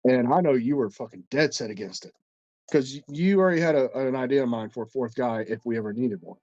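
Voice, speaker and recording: A man's voice: medium pitch, fast, a little mellow, dry recording, lo-fi sound. A man co-hosting a casual podcast, stuffed up and congested, telling a long story in a rambling, profane way with many restarts. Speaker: podcast co-host.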